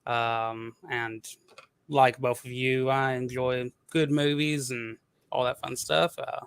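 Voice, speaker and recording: A man with a Southern US accent introduces himself in easygoing, self-deprecating chat. His voice is smooth and clear, at an ordinary pitch. The recording is dry and close. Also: little pitch movement, takes it slowly, fairly bright tone, no echo. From American South. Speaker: guest, YouTube commentator. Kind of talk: casual chat.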